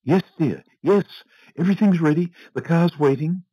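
A man voicing an anxious, fretful older wealthy woman who talks in a high, breathless, fussing rush. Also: normal speed, mellow, no echo, highs cut off, close to an everyday voice. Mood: eager.

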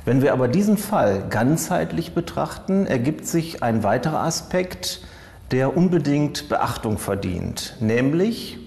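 A man's voice: medium pitch, big pitch swings, a little slow, vocal fry, a little mellow. A man giving formal, emphatic explanatory commentary as an expert on criminal psychology. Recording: long reverberant hall sound.

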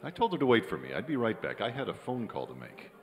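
Sardonic low-voiced Hollywood writer. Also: male voice, huge pitch swings, quick pace. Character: cynical, wry struggling Hollywood screenwriter man. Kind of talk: old-time radio drama acting and narration. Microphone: stand microphone on stage. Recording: live theater stage, long reverb.

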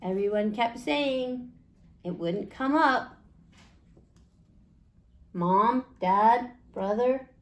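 A woman's voice: a little high, very slow, pauses often. A woman in her forties reading a picture book aloud to children as a storytime host, in simple phrases with questions to the listeners. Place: small indoor room.

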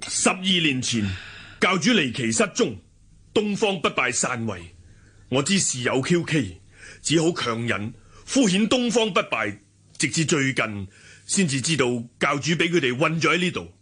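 Forthright sworn big brother for martial-arts radio drama; animated, highish voice, Hong Kong Cantonese accent. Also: male voice, a little slow, slightly rough, heavily acted. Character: shrewd, hearty sworn elder brother, loyal martial-arts lieutenant.

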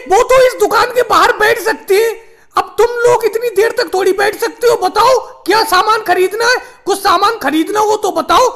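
A woman's voice: very high voice, a bit bright. A woman voicing an elderly, homely, insistent female character in a comic cartoon skit. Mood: bossy, chiding.

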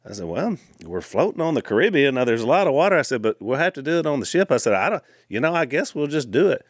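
Lively middle-aged man telling a story. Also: mid-range voice, no echo, clean studio sound. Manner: animated storyteller, quick asides. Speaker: podcast co-host. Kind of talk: casual storytelling chat. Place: wood-paneled studio room. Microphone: studio mic on boom arm, headphones.

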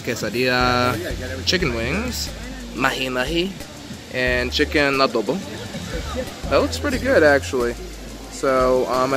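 A young man hosting a casual food vlog, chatting loosely with lots of fillers as he films himself on a phone at an outdoor poolside cafe. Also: medium pitch. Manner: relaxed, chatty, frequent fillers. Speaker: vlog host. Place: outdoor cafe patio by a pool. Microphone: phone camera held at arm's length. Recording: noisy outdoor phone recording.

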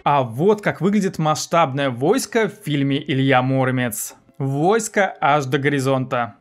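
A man's voice: medium pitch, animated, unhurried, soft background music. A man in his thirties presenting a scripted video essay as a film review host, recorded indoors in a small room.

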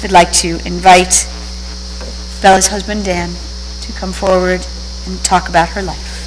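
An older woman officiant giving a steady, solemn reading of psalms and scripture at a lectern in a synagogue sanctuary. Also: on the low side, unhurried. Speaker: service officiant. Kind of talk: liturgical reading. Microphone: lectern microphone. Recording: reverberant hall with faint hum.

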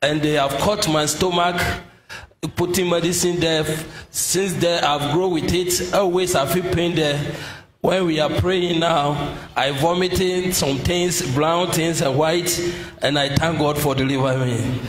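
A man giving a slow, searching testimony into a handheld mic in a church hall. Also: on the high side, fairly even intonation, a little hiss or hum. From Ghana. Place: large indoor church hall. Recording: echoey hall with faint music.

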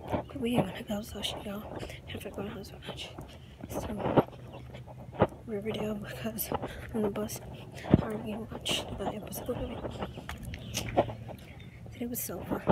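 A woman's voice: medium pitch, little pitch movement, moderate pace, long pauses, heavy background noise. A teenage girl vlogging casually in a chatty, slangy, rambling way, talking into a phone at arm's length. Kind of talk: casual vlog chat. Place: home indoors, then outdoors. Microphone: phone held at arm's length.

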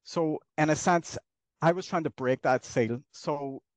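Slow-talking middle-aged man interviewed. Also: a little high, dry recording. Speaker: interviewed journalist. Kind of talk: conversational interview answers. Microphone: headset on a video call.

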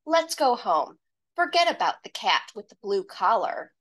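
A woman voicing a lively, playful young girl in a children's storybook read-aloud, given to exclamations. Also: fairly high pitch, moderate pace. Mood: excited, urging.

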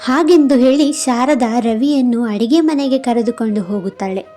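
A woman's voice: fairly high pitch, moderate pace, warm tone, soft background music. A woman narrating a scripted moral story.

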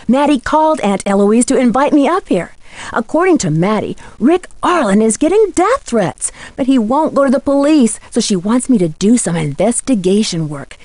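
Woman acting as teenage girl sleuth, American accent, highish voice, dry close recording, earnest, inquisitive, video game dialogue. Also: darkish tone, no room sound, close to an everyday voice.

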